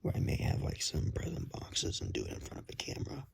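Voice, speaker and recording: A man whispering casually to viewers as an ASMR creator, self-deprecating, recorded on a phone's built-in microphone. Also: quick pace. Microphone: phone, no external microphone.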